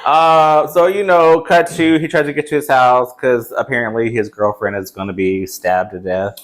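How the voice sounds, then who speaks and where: A man in his forties talks about films in a casual, chatty way. He rambles along as a review co-host, with a medium-pitched voice, picked up by a camera in a small room. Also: steady tone, normal speed. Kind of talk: casual film chat. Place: small indoor game room.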